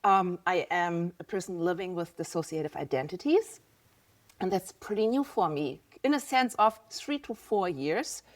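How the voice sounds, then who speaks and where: A woman's voice: on the low side, animated, moderate pace. A woman in her fifties with a German accent, a podcast guest talking candidly and reflectively about her own experience. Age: 50s. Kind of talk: casual interview talk.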